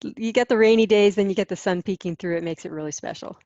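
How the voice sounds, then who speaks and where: A woman's voice: mid-range voice, quick pace, mellow, lo-fi sound. A middle-aged American woman from Hawaii answering interview questions in a candid, explanatory, conversational way. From Hawaii, United States. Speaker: interview guest, content marketing business owner.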